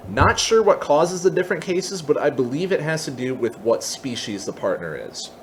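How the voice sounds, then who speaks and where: Casual man with a lively, swinging pitch. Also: normal speed, a little hiss or hum. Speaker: tutorial host. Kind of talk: casual software tutorial, explaining on-screen steps.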